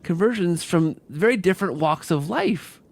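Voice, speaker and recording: A man hosting a conversational interview podcast, who rephrases haltingly as he goes and often tags his points with a question seeking agreement. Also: high-pitched, expressive, vocal fry. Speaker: podcast host.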